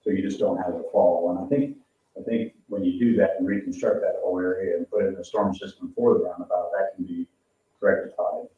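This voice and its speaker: A man's voice: sits low, fairly even intonation, fairly quick. A man giving an informal technical explanation at a board meeting. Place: echoey meeting room, picked up from afar. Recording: roomy, distant, muffled meeting audio.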